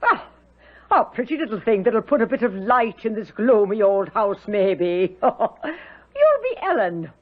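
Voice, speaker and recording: A woman's voice: fairly high pitch, very animated, measured pace. An actress playing a jolly, motherly Irish housekeeper and cook in a 1970s radio drama. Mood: jolly, welcoming. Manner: effusive, motherly, folksy endearments. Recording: muffled old radio broadcast.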